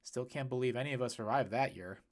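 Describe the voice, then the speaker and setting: A man's voice: medium pitch, fast talker, close to an everyday voice. A man voicing a reasonable, easygoing adult man in a storybook, in a conversational, plainspoken way.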